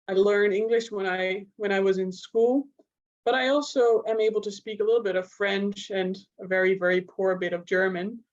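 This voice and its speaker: A woman's voice: mid-range voice, fairly even intonation, moderate pace. A woman from the Netherlands, a native Dutch speaker with a Dutch accent, giving a semi-spontaneous academic talk as a clinician, explaining with examples. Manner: explanatory, illustrates points with examples. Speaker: clinical neuropsychologist giving a lecture. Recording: dull, lo-fi audio.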